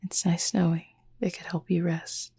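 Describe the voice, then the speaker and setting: Cupid roommate, sleep-aid roleplay, female actor: reserved and devoted, hushed breathy delivery, very even pitch, dark soft tone, measured formal phrasing. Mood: tender, hushed. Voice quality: breathy, husky, near-whisper. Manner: measured, formal wording with long pauses.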